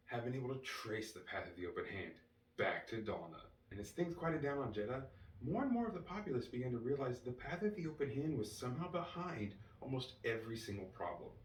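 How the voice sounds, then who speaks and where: A man giving an enthusiastic fan review as a solo host, excited and emphatic, drawing out key words. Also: mid-range voice. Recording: roomy, distant mic with faint noise.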